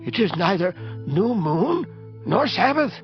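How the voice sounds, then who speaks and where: A man's voice: high voice, sing-song, measured pace, muffled recording, faint music behind, exaggerated voice. An actor playing a stern husband and father in a dramatized scripture, with formal phrasing. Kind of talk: dramatized scripture audio acting.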